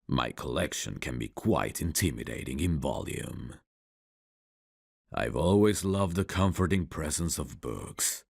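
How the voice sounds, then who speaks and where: Very deep, gravelly vampire count. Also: male voice, no room sound, studio quality, heavily acted. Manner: slow, courtly, old-fashioned, intimate phrasing. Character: suave male vampire count, courtly, seductive, quietly menacing. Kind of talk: ASMR roleplay acting. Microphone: close dry studio mic.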